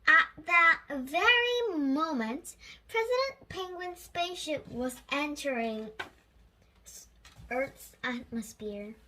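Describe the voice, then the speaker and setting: A child with a high, bright voice reads a storybook aloud. The delivery is slow and careful, with the odd repeated word, and the pitch swings in a lively way. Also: low-quality recording. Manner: careful oral reading with repeated words and stumbles.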